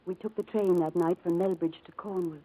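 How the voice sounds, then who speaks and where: A woman's voice: lowish voice, steady tone, fairly quick, natural voice, poor audio. An actress playing a devoted, spirited young woman in a 1940s radio drama, speaking in an earnest, pleading and effusive way. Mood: wistful narration. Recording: old radio broadcast with music behind.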